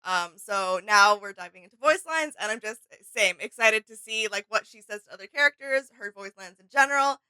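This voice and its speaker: Bright, clear-voiced woman chatting casually. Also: mid-range voice, lively intonation, moderate pace, few pauses, no room sound, poor audio. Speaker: reaction video co-host. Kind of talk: casual reaction chat.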